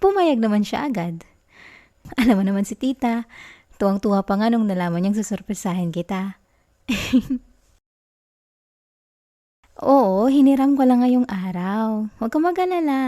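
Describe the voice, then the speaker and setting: Female, Filipino accent, acting as a sweet, teasing girlfriend; soft, dark-toned, intimate ASMR delivery. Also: fairly high pitch, animated, moderate pace, pauses often, natural voice. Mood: reassuring, sweet. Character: affectionate, caring young girlfriend, playful and sweet. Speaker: ASMR roleplay performer. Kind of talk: affectionate girlfriend ASMR roleplay in Taglish.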